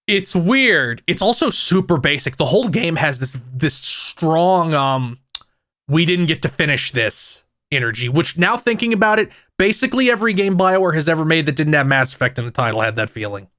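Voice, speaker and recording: A man with a fairly high voice chats about games on a podcast, rambling along with plenty of restarts and asides. The sound is dry and close, with no room around it. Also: sing-song, fairly bright tone, no echo. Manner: rambling, many restarts and fillers, quick asides. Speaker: podcast co-host. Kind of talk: casual gaming podcast chat.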